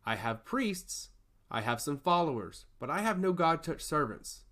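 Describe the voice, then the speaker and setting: A man voicing a solemn, curious goddess of law who speaks in an earnest, measured and formal way in a fantasy story. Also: fairly high pitch, very animated, bright, forceful tone, voice pushed up, heavily acted. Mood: earnest, grave.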